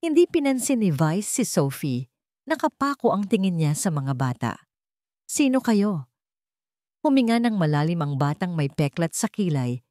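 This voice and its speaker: Dramatic, low-pitched, animated woman narrator. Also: moderate pace, no room sound, clean studio sound. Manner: theatrical, suspenseful. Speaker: story narrator. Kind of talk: dramatic scripted narration of a romance story.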